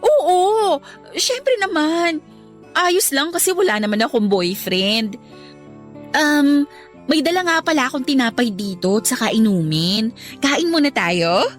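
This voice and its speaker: A shy young woman in love, lively and high. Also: measured pace, soft background music. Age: young adult. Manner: earnest, flustered, then indignant. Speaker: heroine Abby. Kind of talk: radio drama acting.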